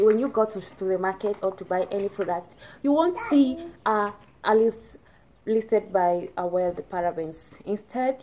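A young woman from Tanzania with a Tanzanian accent, Swahili her first language, talking to camera about hair care in careful, halting English with many restarts. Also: medium pitch, a little mellow, slight background noise. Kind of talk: casual talk to camera. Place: indoors, plain plastered wall.

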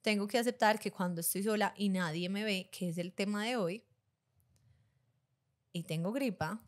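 A woman's voice: medium pitch, moderate pace. A young woman co-hosting a casual podcast chat, laughing often between her lines. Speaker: podcast co-host.

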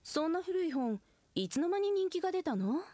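An actress playing a gently teasing bookshop keeper, a townswoman in an anime video game. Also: very high-pitched, very animated, moderate pace. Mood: bemused. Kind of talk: Japanese game acting. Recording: faint game music behind.

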